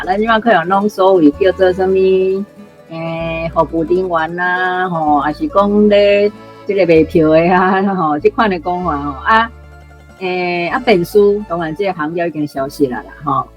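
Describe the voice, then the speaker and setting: A woman's voice: lowish voice, normal speed, dull audio, faint music behind. A woman with a Taiwanese accent giving unscripted explanatory commentary in a conversational way, full of particles and small asides. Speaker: guest commentator.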